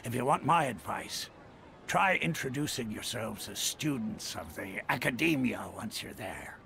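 A man voicing a seasoned, genial, grey-haired mercenary chief in a video game, measured and knowing. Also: mid-range voice, expressive, gravelly, pushed and bright, a little hiss or hum, full character voice. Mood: knowing advice. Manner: measured, explanatory. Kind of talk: video game character dialogue.

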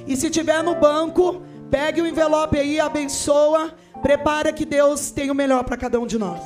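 High-voiced woman preaching fervently. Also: fairly even intonation, moderate pace, faint music behind. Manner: emphatic, often shouting. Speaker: preacher and author. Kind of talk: fervent testimony and offering appeal. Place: large church hall with congregation. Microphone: handheld microphone.

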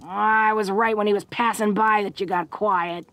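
A woman playing a tough but awkward, affectionate young orc woman in an intimate roleplay audio drama, talking in a folksy, halting way. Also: medium pitch, fairly even intonation, a bit bright, no echo, close to an everyday voice. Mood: worried, protective. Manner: folksy, halting tough-girl talk with small chuckles.

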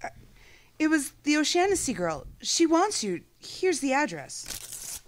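An actress playing a loyal, anxious detective's secretary in a noir radio play, hesitant and pleading. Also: female voice, sits high, very animated, a little slow, a little hiss or hum. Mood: alarmed.

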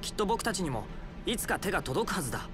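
An actor playing a thoughtful, earnest young swordsman in a Japanese video game, speaking in a gentle, reflective way. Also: sits high, big pitch swings, quick pace, music in the background. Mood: earnest, determined. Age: young adult.

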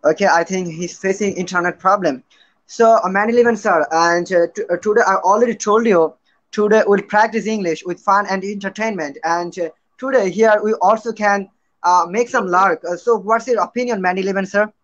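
Young guy from bangladesh hosting, high voice, lots of uh, muffled call audio. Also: a little fast, a bit bright. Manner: hesitant, many "uh"s, formal phrasing. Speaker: host. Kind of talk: live-stream hosting. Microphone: video call.

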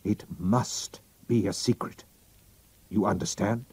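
A man's voice: fairly low pitch, slow, darkish tone, breathy edge, no room sound, voice pushed up, full character voice. A man voicing a proud, anxious king who speaks formally and commandingly. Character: German-accented royal visitor, proud king, anxious.

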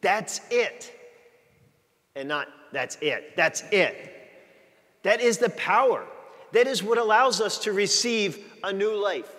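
A man's voice: sits high, lively intonation, takes it slowly, long pauses, a bit bright. A middle-aged man preaching a conversational sermon as a priest, chatting and joking with his congregation. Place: large church, walking the aisle before the altar. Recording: long church reverb.

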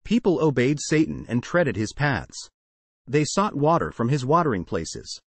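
A man's voice: medium pitch, sing-song, unhurried, no echo. Man solemnly reading a sermon aloud. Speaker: sermon reader. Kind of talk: solemn scripted narration over slideshow.

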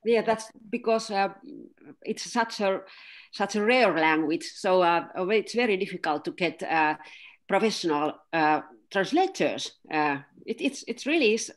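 A woman author with a Finnish accent, speaking haltingly with many fillers on a video call panel. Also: mid-range voice, lively intonation. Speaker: guest author. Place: home room.

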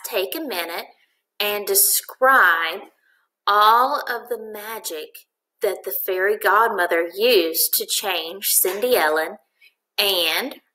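A woman teacher talks to her class in a recorded lesson. Her voice is bright and clear-cut at a middle pitch, giving instructions to young pupils. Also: slight background noise, low-quality recording. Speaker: elementary school teacher.

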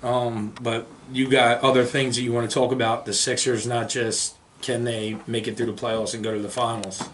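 A man's voice: mid-range voice, little pitch movement, moderate pace, few pauses, slight background noise, low-quality recording. An American man debating sports casually on a podcast, with an emphatic, argumentative, informal way of talking. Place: small indoor podcast studio. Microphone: boom-arm studio microphone with foam windscreen.

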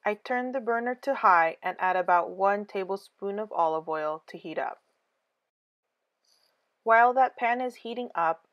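A woman giving a scripted cooking voice-over, calm clear step-by-step instructions for a home recipe. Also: lowish voice. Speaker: cooking channel host.

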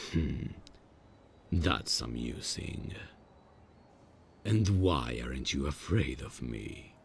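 A man voicing a proud, grumpy demon on an awkward date, deadpan and menacing with hesitant phrasing, in an ASMR roleplay. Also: rumbling low, creaky, slight background noise, heavily acted.

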